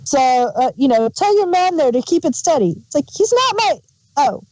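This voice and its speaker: A woman co-hosting a review show, chatting casually in a playful, halting way. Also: sits high, sing-song, a little mellow, dry recording, poor audio. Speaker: podcast co-host.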